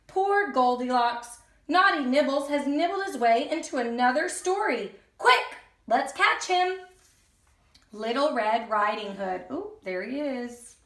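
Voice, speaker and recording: A young woman reading a picture book aloud expressively, the way one does for small children. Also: high-pitched. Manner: expressive, slow and deliberate for children. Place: indoors in a room at home. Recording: echoey room sound.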